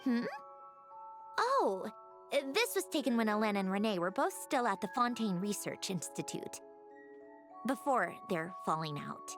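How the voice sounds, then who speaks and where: An actress playing a proud, clever young female automaton in a video game, composed, self-assured and expressive. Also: mid-range voice, measured pace, over music. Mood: wistful. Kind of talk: scripted video game dialogue.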